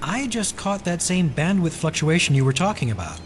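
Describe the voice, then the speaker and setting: An actor playing an earnest, cautious, methodical conspiracy investigator in an audio drama. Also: male voice, a little high, sing-song, normal speed, a little hiss or hum. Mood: careful.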